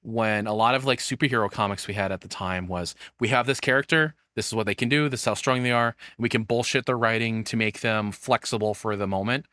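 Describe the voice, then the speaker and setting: A man's voice: on the low side, fast talker, few pauses, bright, forceful tone, dry recording, studio quality. A man doing casual live reaction commentary as a streamer, rambling and swearing in an informal way.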